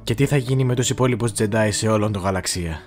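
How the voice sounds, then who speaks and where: A man's voice: fairly low pitch, a little mellow, faint music behind, close to an everyday voice. A man playing a grave young Jedi apprentice submitting to darkness, solemn and subdued, in a film fan dub. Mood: grave, obedient.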